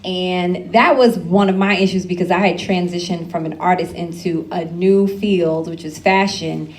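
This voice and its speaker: A woman's voice: fairly low pitch, fairly even intonation, normal speed. A woman speaking on an alumni panel, giving candid advice with loose asides and frequent laughs.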